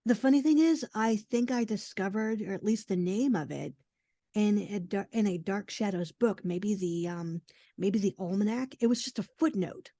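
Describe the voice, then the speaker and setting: Mellow-voiced woman in her forties. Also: medium pitch, normal speed, dry recording. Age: 40s. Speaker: podcast guest. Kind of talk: casual chat, personal storytelling.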